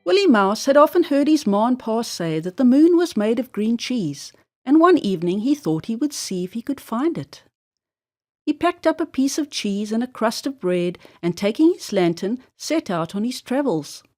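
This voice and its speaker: A woman reads a children's tale aloud. Her voice sits fairly high and moves up and down with lively intonation, and her delivery is deliberate and expressive. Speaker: storyteller. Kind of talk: children's story read-aloud narration.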